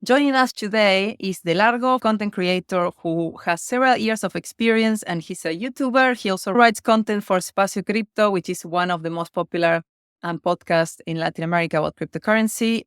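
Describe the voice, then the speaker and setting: A woman's voice: fairly low pitch, moderate pace, smooth, no room sound, studio quality. A woman from Argentina with an Argentine Spanish accent, a native Spanish speaker, hosting and interviewing on a podcast. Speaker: podcast host.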